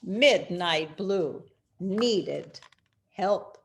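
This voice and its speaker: A woman reading a children's picture book aloud in an expressive, deliberate storytelling manner with dramatic pauses. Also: lowish voice, a little hiss or hum. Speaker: storyteller, rabbi.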